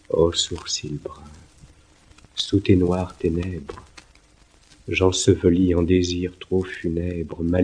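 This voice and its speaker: Slow, husky Frenchman reciting poetry. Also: low voice, darkish tone. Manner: declamatory, lyrical, theatrical phrasing. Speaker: poetry reader.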